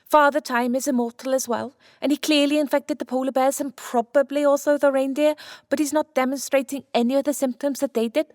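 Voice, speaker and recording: A woman playing a brisk, clinical scientist wife in an audiobook drama, matter-of-fact and firm. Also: sits high, steady tone, darkish tone, no echo, studio quality, lightly acted. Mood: clinical, analytical. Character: Santa's brisk, clinical scientist wife, immortal, coolly determined.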